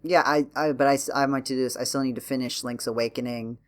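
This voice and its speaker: A man's voice: medium pitch, fairly even intonation, fast talker. A man hosting a podcast, talking casually about video games in a wry, rambling way and often clarifying himself. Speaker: podcast host. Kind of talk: casual video game chat.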